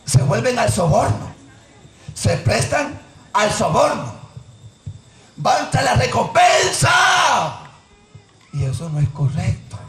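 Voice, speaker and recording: A man preaching a fiery sermon, dropping to quiet asides and then shouting out Bible verses. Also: sits high. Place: church sanctuary with congregation. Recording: echoey church with crowd noise.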